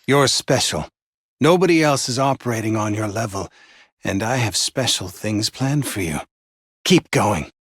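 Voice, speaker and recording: A man playing a sinister, doting teacher in a video game, husky and intimate, with measured, admiring delivery. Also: lowish voice, lively intonation, a bit bright, no room sound, studio quality, full character voice. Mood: intimate praise. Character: sinister, doting male teacher praising a favoured student. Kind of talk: scripted video game voice lines.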